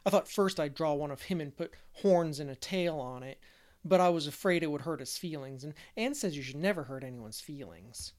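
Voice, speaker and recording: A woman voicing a lively little boy who chatters eagerly as she reads an audiobook. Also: deep voice, brisk. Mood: chatty. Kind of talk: audiobook character voice.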